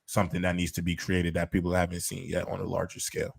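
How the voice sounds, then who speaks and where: A man's voice: low-pitched, completely flat delivery, fast talker, gravelly, slightly rough, no echo, studio quality. A man co-hosting a podcast, talking casually and emphatically with slang and lots of "um". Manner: emphatic, slangy, filler-heavy. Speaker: podcast co-host. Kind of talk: casual chat.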